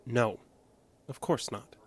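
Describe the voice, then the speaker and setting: A cold man with violence kept under a calm surface. He speaks at an average male pitch, precise and evasive, with little feeling. The style is audio drama. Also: slow, breathy edge. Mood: guarded, dry.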